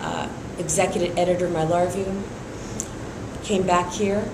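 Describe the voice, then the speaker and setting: A woman's voice: fairly low pitch, fairly even intonation, moderate pace, roomy sound, background noise. A middle-aged woman from Iran, raised in San Francisco, speaking steadily as a district attorney candidate in an interview, with frequent fillers. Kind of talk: endorsement interview.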